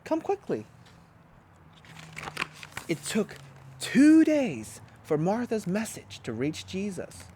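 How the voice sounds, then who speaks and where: A man, a pastor, reading a children's storybook aloud with animated, expressive storytelling. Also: high-pitched, slow pace, a little hiss or hum.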